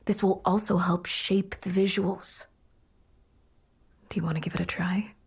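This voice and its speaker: A woman's voice: mid-range voice, steady tone, a little slow, vocal fry, slightly rough, exaggerated voice. A woman playing an earnest, coaxing dream therapist in a psychological horror audio drama. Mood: enthusiastic. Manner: coaxing, guiding. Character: earnest, reassuring female dream therapist, visionary scientist.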